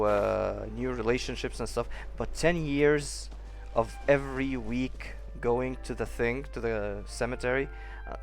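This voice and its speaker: A man giving casual, halting playthrough commentary as a gaming streamer, musing in a skeptical way. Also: mid-range voice. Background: faint game music.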